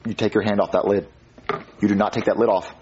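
A man's voice: on the high side, very animated, fast, highs cut off, background noise. A man playing an exasperated, strict young father in a puppet comedy, scolding with stammered repetition. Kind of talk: puppet comedy skit acting.

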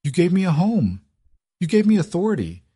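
A man voicing a guarded, cynical young noblewoman who talks briskly and erupts in fury. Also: on the low side, expressive, darkish tone, husky. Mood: furious. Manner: brisk and clipped, breaking into furious outbursts.

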